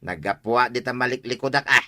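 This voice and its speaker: An actor with an Ilocano accent playing a teasing, lazy, boastful husband in a radio comedy drama. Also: male voice, mid-range voice, fairly quick, sharp tone, no room sound, clean studio sound. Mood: mocking. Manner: mocking, exclaiming.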